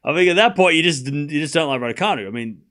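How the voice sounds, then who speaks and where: A man's voice: a little high, animated, racing, fairly bright tone. A man with a mild Australian accent hosting a live tennis watchalong, mixing commentary with casual chat and repeating phrases for emphasis. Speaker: livestream watchalong host and commentator.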